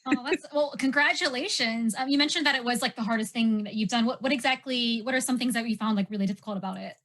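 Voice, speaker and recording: A woman's voice: a little high, fast, fairly bright tone. A woman hosting a panel interview, asking questions in a friendly, encouraging, upbeat way.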